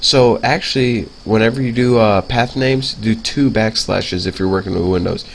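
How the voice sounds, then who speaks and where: A man's voice: lowish voice, normal speed, creaky, a little hiss or hum. A young man with a stuffy nose from a cold, casually talking through a programming lesson as he types, with lots of fillers. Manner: casual, filler-heavy, talks while typing. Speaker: programming tutor. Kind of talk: casual screencast coding lesson.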